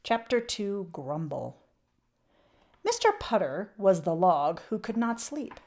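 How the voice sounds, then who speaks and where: Animated woman reading a children's storybook. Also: mid-range voice, slow. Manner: animated, with emphatic swells and hushed drops. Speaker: children's read-aloud channel host. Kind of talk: expressive children's storybook read-aloud.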